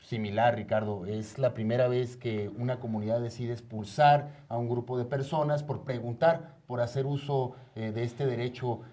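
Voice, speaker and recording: A man's voice: medium pitch, fairly even intonation, normal speed, hardly any pauses, warm tone. A Mexican man hosting a studio interview, presenting guests and putting questions to them in spontaneous speech. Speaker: talk show host interviewing guests.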